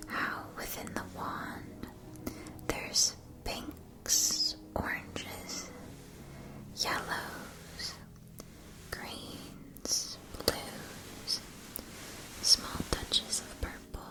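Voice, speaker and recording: A gentle, attentive keeper of a magic wand shop, played by a woman in an ASMR fantasy roleplay. She speaks in a soft whisper and takes her time over each phrase. Her words are carefully formed and soothing. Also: rough recording. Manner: slow, soothing, careful enunciation. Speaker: ASMR performer.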